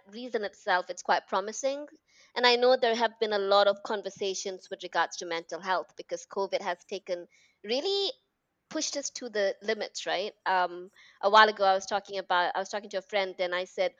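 An energetic woman from Malaysia with a mixed British and American accent, chatting casually and expressively as a podcast guest. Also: mid-range voice, no room sound, muffled recording. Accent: international mix of British and American. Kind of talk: casual conversational chat.

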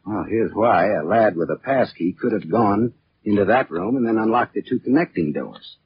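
Low-voiced hardboiled radio detective. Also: male voice, lively intonation, moderate pace. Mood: pressing, sardonic. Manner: clipped, slangy, wisecracking. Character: hardboiled male private detective, sardonic and pushy. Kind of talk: 1940s radio detective acting with first-person narration. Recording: muffled, noisy late-1940s radio recording.

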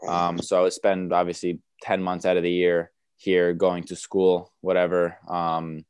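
A young man from the United States whose first language is Romanian, answering interview questions in a relaxed way, with many fillers and restarts. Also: low-pitched, unhurried, clean tone, dry recording. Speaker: tennis player guest.

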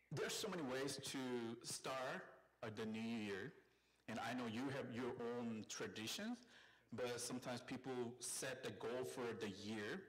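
A man's voice: medium pitch, poor audio. Korean-accented male pastor, mid-range voice, conversational sermon delivery. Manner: friendly and conversational, with call-and-response. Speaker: site pastor. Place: church sanctuary.

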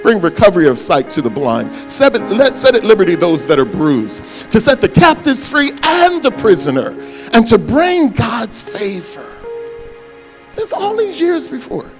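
An older man, a pastor preaching a sermon, his voice hoarse, swinging between loud bursts and soft asides. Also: high voice, huge pitch swings, normal speed, soft background music.

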